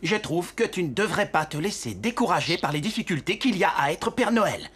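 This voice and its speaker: A man's voice: high voice, very animated, fairly quick, slightly rough, heavily acted. A man voicing a loyal, worrying flying reindeer in a French children's cartoon. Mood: firm, encouraging. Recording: loud music behind the voice.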